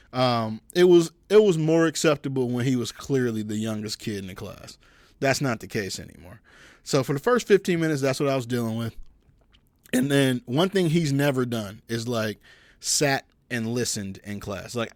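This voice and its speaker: Easygoing young dad with a halting delivery. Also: male voice, medium pitch, animated, no echo, clean studio sound. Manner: halting, restarts often, easygoing. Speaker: podcast co-host. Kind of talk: casual chat.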